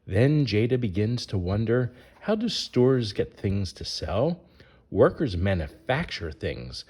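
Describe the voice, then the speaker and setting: Low-voiced man reading with lively swings. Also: slow pace, slightly rough. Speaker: narrator reading a picture book aloud. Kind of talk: scripted read-aloud of a rhyming children's book.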